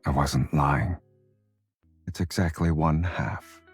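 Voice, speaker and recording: Smug flirty game love interest, super low slow voice, breathy, music behind. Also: male voice, full character voice. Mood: low, intimate. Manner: unhurried, playful teasing. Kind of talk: romance game voice acting.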